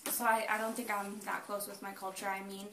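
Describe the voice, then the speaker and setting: A woman's voice: mid-range voice, little pitch movement, a little fast, roomy sound, recorded from a distance, very noisy. A young woman answering an interview casually and hesitantly, with many ums.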